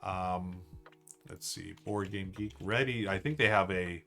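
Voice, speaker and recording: A man's voice: deep voice, unhurried, vocal fry, fairly bright tone, faint music behind. A man hosting a casual live stream, ranking board games in an enthusiastic, rambling way with lots of fillers and restarts. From United States.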